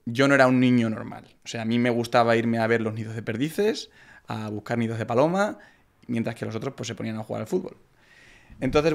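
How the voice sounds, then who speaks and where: A young Spanish man with a Madrid accent talks in a relaxed, halting way, full of fillers and restarts. His voice has a gravelly edge and stays flat in pitch. He is recorded on a close studio mic. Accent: Spain Spanish. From Madrid, Spain. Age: young adult. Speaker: podcast guest, entrepreneur. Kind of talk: casual interview chat. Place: podcast studio, indoors. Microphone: broadcast mic on arm, close.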